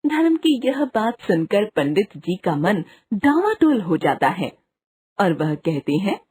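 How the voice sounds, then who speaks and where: A woman's voice: mid-range voice, very animated, slow pace, darkish tone, slightly rough. A woman narrating a scripted children's moral story.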